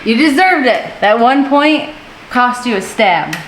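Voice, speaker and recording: A woman with a mid-range voice teases in a dry, deadpan way from off camera. She sounds distant in an echoey shop, with faint noise around her. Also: on the high side, measured pace. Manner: teasing, deadpan ribbing. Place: indoor auto repair shop.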